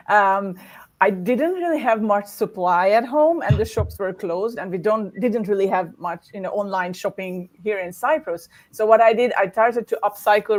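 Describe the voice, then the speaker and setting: A woman from Hungary, talking as a guest artist on a video call in a warm, chatty way with laughs between her words. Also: mid-range voice. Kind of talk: casual interview talk.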